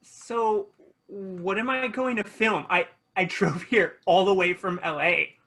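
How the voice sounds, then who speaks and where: A performer playing a self-absorbed teenage influencer at a table read of a comedy pilot, with an unhurried, vlogger-like delivery. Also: mid-range voice, fairly even intonation, a bit bright. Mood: skeptical, underwhelmed.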